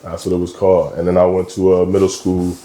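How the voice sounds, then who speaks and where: A man in his thirties from Michigan, a basketball coach talking as a guest in a casual interview, relaxed and slangy with frequent ums. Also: low-pitched, fast talker, roomy sound. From Michigan, USA. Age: 30s. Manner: laid-back, slangy, lots of ums.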